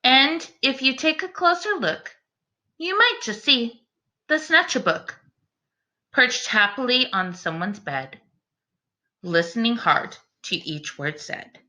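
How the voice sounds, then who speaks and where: A woman teacher doing an expressive children's read-aloud, speaking in an encouraging way aimed at young listeners. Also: a little high, slow pace. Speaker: teacher reading a picture book aloud.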